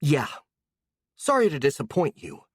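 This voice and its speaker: A storyteller voicing a hot-tempered young woman who shouts and sneers with sarcasm. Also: low-pitched, big pitch swings, normal speed, no echo, studio quality, voice pushed up. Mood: sarcastic.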